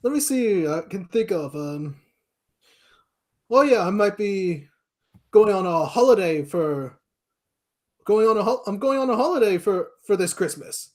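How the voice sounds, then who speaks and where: Male podcast guest from America, notably high-pitched voice, casual, hesitant delivery in short halting phrases. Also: lively intonation, darkish tone. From United States. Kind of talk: casual chat.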